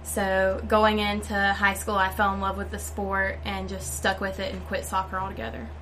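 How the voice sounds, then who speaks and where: A woman's voice: medium pitch, level tone, normal speed, fairly bright tone, slight background noise, poor audio. A young woman from North Carolina, a college volleyball player giving plain, matter-of-fact interview answers. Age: young adult.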